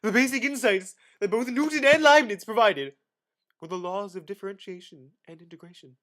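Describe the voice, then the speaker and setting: Young male reader, higher-pitched, forceful bright tone, overdramatic delivery of reference text. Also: animated, a little fast. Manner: theatrical, exaggerated emphasis with sudden loud and hushed phrases. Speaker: student reader. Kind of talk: dramatic reading of an encyclopedia article.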